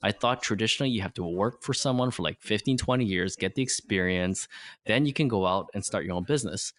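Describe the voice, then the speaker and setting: A man's voice: fairly low pitch, quick pace, a bit bright. A man in his forties whose first language is Vietnamese, a podcast guest explaining ideas earnestly in simple phrases. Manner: earnest, simple phrasing, frequent "right?" tags. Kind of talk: motivational interview talk.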